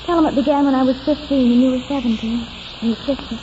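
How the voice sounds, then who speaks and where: A woman's voice: high voice, fairly even intonation, quick pace, background noise, close to an everyday voice. An actress playing an ardent, determined, romantic young woman in 1940s radio drama style. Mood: romantic, yearning. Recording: muffled old radio recording with music.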